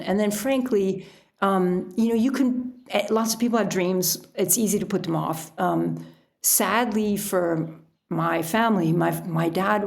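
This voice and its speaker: A middle-aged American woman answers interview questions in a conversational way. Her mid-pitched voice has a noticeable creak, and she peppers her explanations with "you know.". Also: a little mellow. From United States. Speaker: podcast guest, author and sailor.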